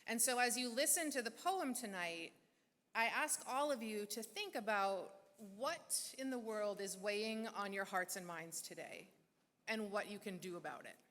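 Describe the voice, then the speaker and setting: A woman hosting a gala, giving a scripted speech with measured, deliberate pauses. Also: mid-range voice, expressive, a bit bright. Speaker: event host. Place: large church hall. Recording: long reverb of a large hall.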